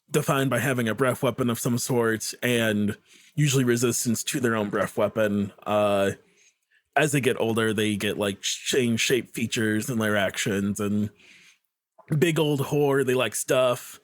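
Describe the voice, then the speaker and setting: A guest on a casual podcast, speaking at an ordinary mid-range pitch. He talks with animated enthusiasm, laughs easily and restarts his sentences as he explains things. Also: a little slow. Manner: animated, laughing, self-interrupting. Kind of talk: casual chat.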